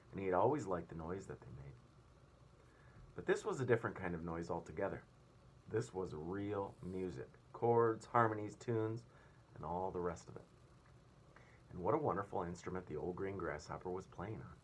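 A man's voice: low voice, lively intonation, fairly quick. A man in his thirties, a teacher reading a children's story aloud in a small indoor room. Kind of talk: storybook read-aloud narration. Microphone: device at about arm's length. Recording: clear room noise.

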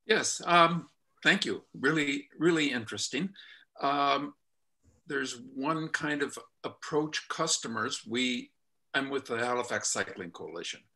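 Bright-voiced older man, halting and deliberate. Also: mid-range voice, expressive. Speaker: committee member from a cycling coalition. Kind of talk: giving comments in an online meeting. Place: indoor room at home, on a video call.

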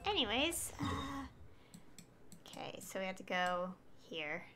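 A woman's voice: mid-range voice, very animated, a little slow, pushed and bright. A woman doing casual live game-stream commentary, chatting and reading dialogue choices aloud. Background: game music.

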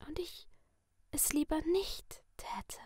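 A woman voicing a sinister masked male killer in a slow, hushed, toying whisper. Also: sits high, lively intonation, no room sound, studio quality, voice pushed up. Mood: mock sorrow.

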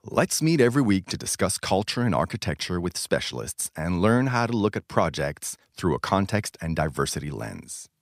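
A man's voice: deep voice, big pitch swings, moderate pace, few pauses, no echo, clean studio sound. A man reading a scripted podcast introduction.

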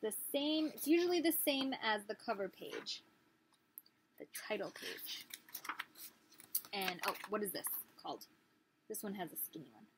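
A woman's voice: mid-range voice, measured pace, long pauses, background noise. A woman in her thirties reading a picture book aloud as a teacher, expressively, putting questions to small children. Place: small living room, indoors.

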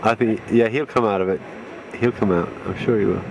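A man on a clifftop, talking loudly and excitedly as he comments on paraglider pilots. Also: lowish voice, brisk, noisy background. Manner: loud, excited commentary on flyers. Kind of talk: casual chat.

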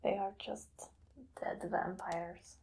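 A woman in her thirties whispering as a zealous female vampire hunter in an ASMR roleplay, slow and deliberate. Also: on the low side, fairly even intonation, gravelly, very noisy, full character voice. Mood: menacing soothing. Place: small dim room indoors.

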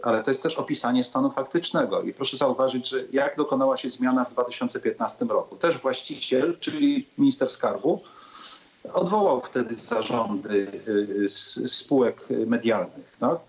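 Hesitant man on a muffled call. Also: mid-range voice. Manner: hesitant, measured, many pauses. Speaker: politician, panel guest. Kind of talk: political debate. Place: indoors on a video call. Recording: dull, muffled video-call audio.

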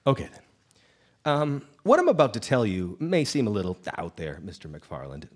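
An actor playing a nervous, evasive labor company representative in a live radio drama, stammering and officious. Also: male voice, fairly low pitch, wildly swooping pitch, moderate pace. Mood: cautious explaining. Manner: stammering, hesitant, apologetic and officious. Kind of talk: live old-time radio drama acting. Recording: live stage performance with background music. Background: music.

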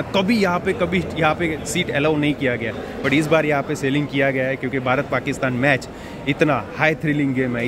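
A young man vlogging with a handheld camera at an outdoor cricket stadium, chatting excitedly to his viewers. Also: on the high side. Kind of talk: casual walking vlog. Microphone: handheld action camera. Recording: noisy outdoor crowd ambience.

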